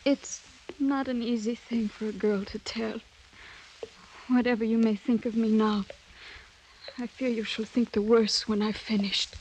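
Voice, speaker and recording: A woman's voice: on the high side, fairly even intonation, unhurried, a little mellow, a little hiss or hum, natural voice, poor audio. An actress playing a proud, anguished young noblewoman in a mid-century radio drama, earnest and melodramatic. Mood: subdued sorrow.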